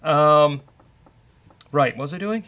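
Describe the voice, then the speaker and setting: A man's voice: fairly high pitch, slow, a bit bright, lo-fi sound. A man hosting a casual coding show, bantering with a co-host and thinking aloud as he works.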